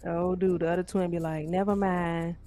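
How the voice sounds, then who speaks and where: A woman's voice: fairly low pitch, little pitch movement, normal speed, warm tone, a little hiss or hum. A woman guest chatting casually, speaking in a candid, informal way. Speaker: show guest. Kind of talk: casual chat.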